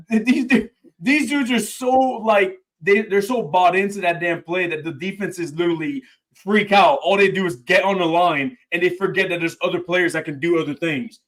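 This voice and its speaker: A man co-hosting a casual podcast, talking sports and debate in an animated way and saying "bro" a lot. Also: sits high, normal speed, low-quality recording. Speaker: podcast co-host.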